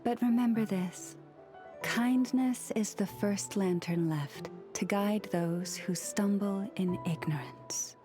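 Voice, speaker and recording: A woman playing a dignified, gracious young priestess leader in a video game, formal and gentle in delivery. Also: mid-range voice, takes it slowly, over music, natural voice. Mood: serene, gracious. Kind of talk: video game character acting.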